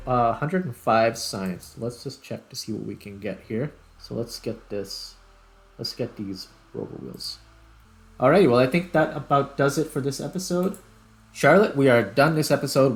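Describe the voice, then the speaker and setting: Gravelly man casually narrating his gameplay. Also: medium pitch, expressive, moderate pace, faint music behind. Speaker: host, gaming video creator. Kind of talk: casual gameplay commentary, thinking aloud.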